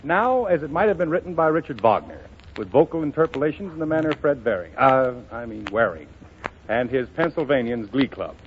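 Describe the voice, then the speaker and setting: A man's voice: medium pitch, very animated, brisk, noisy background. A man hosting a scripted radio variety show in 1930s announcing style. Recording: muffled 1930s radio recording. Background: orchestra music.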